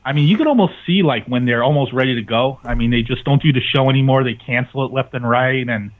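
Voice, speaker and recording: A man's voice: medium pitch, fast talker. A man chatting casually as a podcast guest, heard over a call. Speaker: podcast guest, network owner. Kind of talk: casual phone interview chat. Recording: dull lo-fi call audio.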